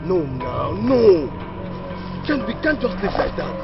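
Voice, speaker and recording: A man's voice: sits high, very animated, slow, gravelly, exaggerated voice. A man playing an uneasy gang member in a film drama, arguing repetitively. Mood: protesting, agitated. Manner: argumentative, repetitive. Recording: muffled film audio with background music.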